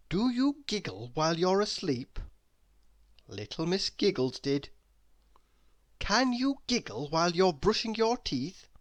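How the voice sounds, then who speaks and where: A woman reading a children's story aloud, expressive and deliberate, with dramatic emphasis. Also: fairly low pitch. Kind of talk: children's read-aloud narration.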